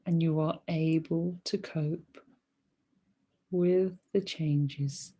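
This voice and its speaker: A woman leading a guided meditation as a teacher, speaking softly and soothingly in short phrases with long pauses between them. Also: low-pitched, fairly even intonation. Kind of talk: guided meditation, body scan.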